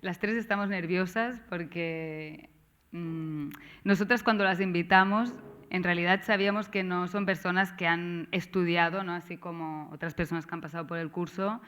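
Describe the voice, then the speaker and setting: A woman with a Catalan accent, whose first language is Catalan, opens a seminar session as its organizer, talking haltingly with frequent tag questions and restarts. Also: fairly low pitch, steady tone, fairly bright tone, clean tone. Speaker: course organizer and moderator. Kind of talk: seminar introduction.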